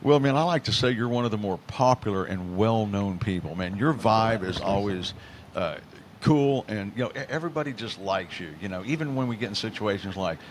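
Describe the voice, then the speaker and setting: A man's voice: on the low side, lively intonation, brisk, slight background noise. An older man hosting a podcast in a laid-back surfer way, dropping "man" into every sentence. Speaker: podcast host. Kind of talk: casual podcast chat.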